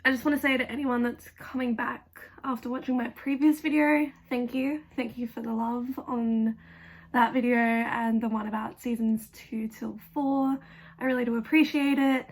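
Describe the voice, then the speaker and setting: A young woman with a gravelly voice, still hoarse after an illness, giving blunt, chatty fan commentary with lots of fillers. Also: fairly high pitch, steady tone, moderate pace. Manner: chatty, blunt, swears casually, many fillers. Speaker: video essayist.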